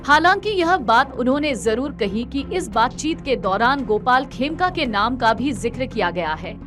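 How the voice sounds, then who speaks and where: Animated, high-pitched woman reading news. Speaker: news reporter. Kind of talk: scripted news narration.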